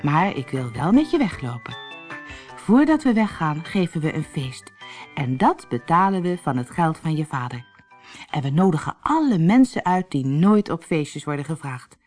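Woman playing a cheeky young trickster lad, lively. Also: sits low, moderate pace, faint music behind. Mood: earnest. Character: kind-hearted, cheeky young male trickster servant. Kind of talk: storybook character acting.

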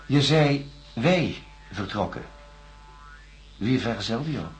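An actor playing a doctor in a radio drama, questioning a patient in a measured, coaxing, methodical way. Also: male voice, mid-range voice, lively intonation, soft background music. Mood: measured, probing. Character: probing, reassuring male doctor interviewing a patient. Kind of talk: science-fiction radio drama acting.

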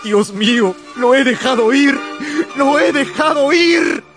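A tormented vengeful sailor, radio play, high gravelly voice, swings all over. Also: male voice, expressive, slow, a bit bright, faint music behind, heavily acted. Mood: anguished. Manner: intense, theatrical. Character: vengeful, tormented sailor hunting his sister's destroyer. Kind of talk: radio drama acting.

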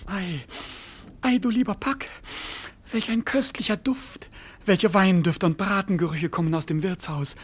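An actor playing a cynical, whining jester in a classic radio play, exclamatory and mock-philosophical, swinging from groans to grandiose outbursts. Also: male voice, high voice, a little slow, slightly rough. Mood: rapturous.

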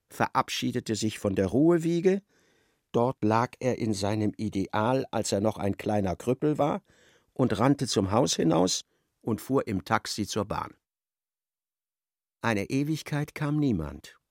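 An actor narrating a literary radio play as a wry, expressive storyteller. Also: male voice, mid-range voice, creaky, no echo, clean studio sound, full character voice.

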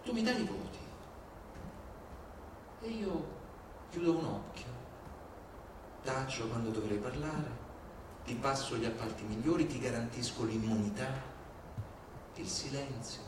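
A man delivers an indignant, rhetorical stage monologue in a medium-pitched voice. He phrases it deliberately, with dramatic pauses. The recording is distant and heavily echoing, with clear noise. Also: lively intonation. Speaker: stage performer. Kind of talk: theatrical read monologue, civic oratory. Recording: very echoey, distant, clearly noisy.

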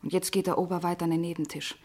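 A worldly young woman in a radio drama, wry and easily exasperated. Her voice is fairly low, husky and breathy, often dropping to a hush. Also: fairly even intonation, rapid-fire. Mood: anxious, hushed. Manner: ironic, often hushed and breathy. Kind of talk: radio play acting in German.

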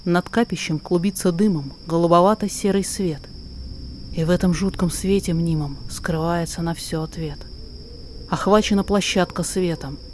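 A woman narrator reading a poetic story aloud in expressive, measured, dramatic verse. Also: fairly low pitch, slight background noise.

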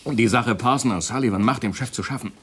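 An actor playing a naive, friendly young office colleague in a 1980s German radio drama. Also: male voice, mid-range voice, fast talker, slight background noise, lightly acted. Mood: earnest, friendly.

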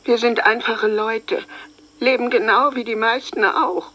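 A woman's voice: on the high side, fairly even intonation, measured pace, pushed and bright, low-quality recording. An actress in a radio drama playing a simple, middle-aged mother of an accused man, speaking plaintively and defensively. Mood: bewildered, defensive. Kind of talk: German radio drama acting, monologue.

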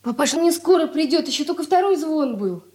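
High-voiced, artless, affectionate young girl. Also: animated, a little fast, a little mellow. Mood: gossipy, confiding. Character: affectionate, naive young girl Anya, caring sister. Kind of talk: Soviet radio drama acting.

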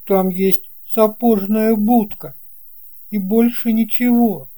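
Woman as a mellow, curious young storybook boy. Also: mid-range voice, fairly even intonation, slow, voice pushed up, lightly acted. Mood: doubtful. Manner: questioning, wondering. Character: curious, puzzled young boy, sensitive and doubtful. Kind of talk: children's audio storybook dialogue.

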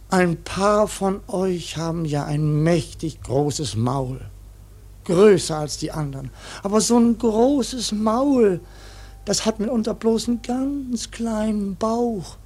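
An actor in a radio play voicing an ancient, stubborn ship's cook who preaches piously and grumbles with curses in a husky voice. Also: male voice, sits high, slow, darkish tone. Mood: preachy, exasperated. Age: older. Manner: grumbling mock-preacher, swears constantly. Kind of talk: German radio play acting.

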